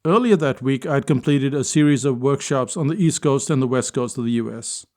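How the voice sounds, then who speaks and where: Male speaker, mid-pitched, measured storytelling delivery, reflective spoken-essay tone. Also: a little mellow. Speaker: speaker and consultant.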